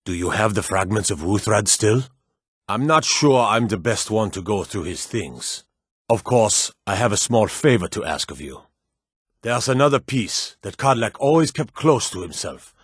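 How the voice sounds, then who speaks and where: Husky, deep old fantasy blacksmith. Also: male voice, expressive, no room sound, studio quality. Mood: earnest, steady. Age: older. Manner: plain-spoken, measured, weighty. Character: gruff, proud old male blacksmith in a fantasy world. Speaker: video game voice actor. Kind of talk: fantasy game character dialogue.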